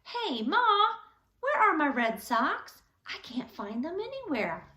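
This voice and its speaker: An exasperated storybook boy, played by a woman, complains loudly. The voice is high and animated, ringing in an echoey room. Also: slow pace, lo-fi sound, heavily acted. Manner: loud, complaining. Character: exasperated boy shouting to his mother.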